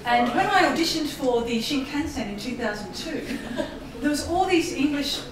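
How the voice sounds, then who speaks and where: A woman with an Australian accent giving a lively public talk about voice work, laughing often and mimicking accents. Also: sits high, moderate pace, recorded from a distance, noisy background. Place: embassy hall. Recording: echoey hall audio from afar.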